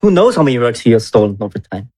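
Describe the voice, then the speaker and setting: A man's voice: mid-range voice, sing-song, rapid-fire, warm tone. A man playing a tabletop roleplaying game casually, speaking in a playful, mock-polite way.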